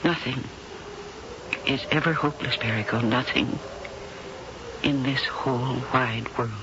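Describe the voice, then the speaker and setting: An actress playing a worldly, tender older woman star in 1970s radio drama, with a husky low voice and theatrical, deliberate phrasing. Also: very noisy. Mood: tender, soothing. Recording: dull old radio broadcast with loud music.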